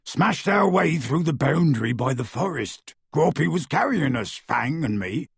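A man voicing a huge bearded half-giant gamekeeper who talks in rough, clipped country speech and booms his words. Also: very animated, a little slow, no echo, studio quality, heavily acted. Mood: gruff. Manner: rustic dropped-word speech, booming.

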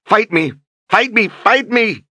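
A man's voice: high-pitched, expressive, normal speed, sharp tone, no echo, pitched above the performer's own voice, heavily acted. A man voicing an anguished young female warrior who screams, sobs and pleads. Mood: furious.

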